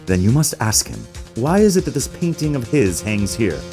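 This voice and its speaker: A man's voice: medium pitch, very animated, moderate pace, music in the background. An actor playing a pompous, menacing corporate boss in a video game, sneering and theatrical as he talks down to people. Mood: smug, menacing. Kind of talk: dramatic video game character acting.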